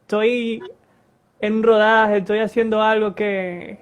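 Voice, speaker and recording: A man's voice: high-pitched, flat delivery, slow pace. A man hosting a live interview on a video call, asking questions and agreeing with short replies. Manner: supportive, brief agreeing replies. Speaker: host. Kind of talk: casual interview chat.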